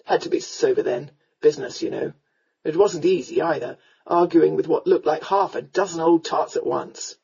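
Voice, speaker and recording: A woman narrator plays a tipsy Regency gentleman from a historical romance. He rambles in a low, mellow drawl, slurring his words with lazy, roguish amusement. The recording is slightly dull. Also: moderate pace, gravelly, husky. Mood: drunken, befuddled.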